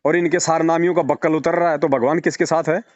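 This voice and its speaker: Male, fairly high voice, argumentative and insistent, dull phone-call recording. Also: fairly even intonation, quick pace, a little mellow, no room sound. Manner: argumentative, rhetorical questions, repetitive emphasis. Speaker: religious devotee speaking to a follower. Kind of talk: persuasive phone conversation.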